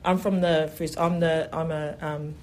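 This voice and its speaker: New festival organiser, mid-pitched and enthusiastic, a rambling interview guest. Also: level tone, measured pace, a little mellow, slight background noise. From New Zealand. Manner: enthusiastic, rambling, many "you know" fillers. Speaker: festival event specialist. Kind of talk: radio interview.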